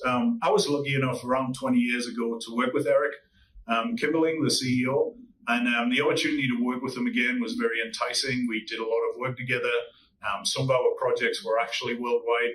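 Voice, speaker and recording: A man with a Newcastle accent speaks at a middle pitch, answering interview questions in a measured way, pausing on ums and restarting phrases. He sits a little back from the microphone, so his voice sounds slightly distant. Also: fairly bright tone, slight background noise. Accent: Newcastle English. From England. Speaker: consulting executive.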